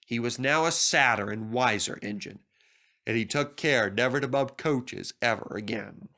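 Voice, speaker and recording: A man's voice: medium pitch, normal speed, pushed and bright. A man narrating a scripted children's story expressively as a storybook reader.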